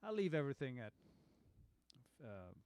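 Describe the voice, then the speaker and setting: A man, a bass player co-hosting a studio livestream, talking in an easygoing way with frequent pauses and restarts. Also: sing-song, darkish tone, clear, resonant tone, dry recording. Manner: halting, many ums and restarts, easygoing. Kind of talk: casual livestream chat. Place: recording studio.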